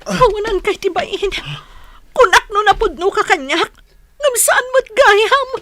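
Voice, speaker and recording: A woman's voice: very high voice, animated, a little slow, a bit bright, full character voice. A woman playing a hurt, betrayed young student in a radio drama, pleading emotionally with a Filipino accent. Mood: hurt, accusing. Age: young adult.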